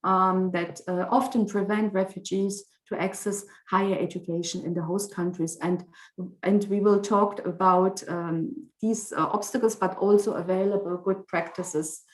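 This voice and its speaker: Woman running a zoom panel, formal and orderly, mid-pitched, echoey room sound. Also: fairly even intonation, normal speed. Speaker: moderator of an online debate. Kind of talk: formal event moderation. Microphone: video call.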